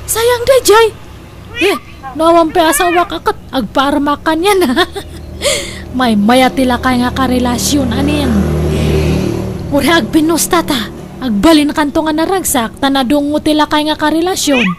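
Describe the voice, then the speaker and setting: A woman acts out a nagging, teasing older sister from an Ilocano comedy radio drama. Her voice is very high and exclaims with animated insistence in an Ilocano accent. Clear noise sits on the recording. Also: normal speed, natural voice. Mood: coaxing, insistent. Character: pushy, teasing older sister urging younger sister toward old suitor. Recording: noisy recording.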